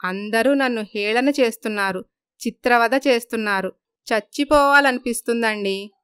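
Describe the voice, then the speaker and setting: A woman's voice: on the high side, moderate pace, fairly bright tone, clear, resonant tone, no echo, clean studio sound, natural voice. A woman voicing a hurt, tearful young daughter-in-law in a moral story. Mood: anguished.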